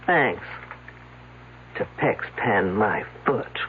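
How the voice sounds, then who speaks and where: An actress playing a wisecracking, hard-nosed police detective in a 1970s radio drama, sardonic and probing. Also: female voice, extremely low pitch, lively intonation, extremely slow, full character voice. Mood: wry, grumbling. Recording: muffled lo-fi radio, humming noise.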